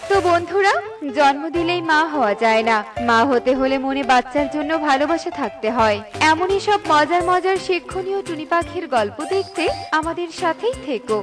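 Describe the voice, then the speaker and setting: A woman narrating a scripted children's story. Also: high voice. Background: faint music.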